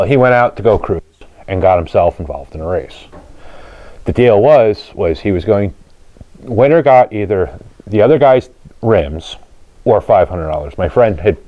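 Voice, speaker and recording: A man's voice: deep voice, dry recording, muffled recording. A man hosting a vlog, casually telling stories and explaining things in plain, colloquial speech. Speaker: host of a car channel, drag racer. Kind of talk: casual storytelling and explaining to viewers.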